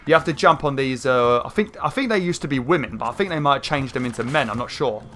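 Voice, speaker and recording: A young man with a croaky throat, rambling through casual gameplay commentary with lots of fillers. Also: mid-range voice, fast talker. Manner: rambling, chatty, many fillers, excited outbursts. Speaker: gaming channel host. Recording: faint game music behind. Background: game music.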